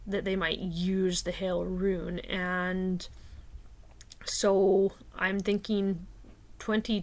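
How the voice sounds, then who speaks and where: A woman from Canada talking casually and thinking aloud, with frequent fillers and restarts. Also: medium pitch. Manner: unhurried, hesitant, many fillers like "um" and "like". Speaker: video creator discussing rune poems. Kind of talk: casual spoken commentary.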